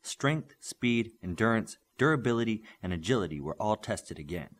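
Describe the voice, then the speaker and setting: Man, audiobook narrator, low voice, very animated intonation, scripted story reading. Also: moderate pace. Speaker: audiobook reader. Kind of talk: scripted narration of a superhero novel.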